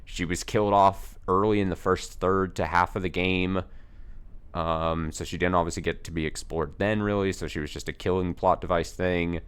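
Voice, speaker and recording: A man's voice: deep voice, fairly even intonation, normal speed, clean tone. A man hosting a let's play, casually rambling and reading the dialogue aloud, his voice slightly worn.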